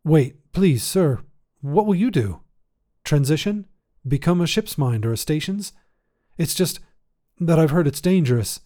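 A man's voice: on the high side, lively intonation, unhurried, pauses often, mellow, voice pushed up. A man voicing a respectful, lovelorn young station watchman in a science fiction story, with a hesitant, deferential manner. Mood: anxious. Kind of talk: acted dialogue in science fiction story reading.

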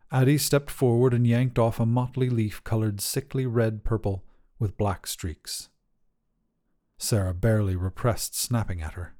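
A man's voice: mid-range voice, warm tone. A man narrating scripted short fiction for a podcast.

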